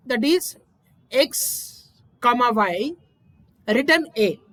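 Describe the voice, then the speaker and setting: A high-pitched woman walks through code one step at a time, checking in with "right?". The recording is close and dry, with no room sound. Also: no echo. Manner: steady step-by-step explaining, frequent "right?". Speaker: instructor. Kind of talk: screen-recorded coding lesson.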